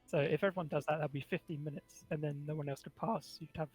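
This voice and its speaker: A man's voice: a little high, steady tone, fast, a little mellow, soft background music. A man in a casual voice chat who works through the numbers of a proposal step by step.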